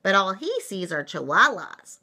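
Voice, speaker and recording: A woman's voice: medium pitch, sing-song, measured pace, sharp tone, voice pushed up, exaggerated voice. A woman voicing teasing little kitten sisters from a storybook in a tattling, playful way. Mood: mocking.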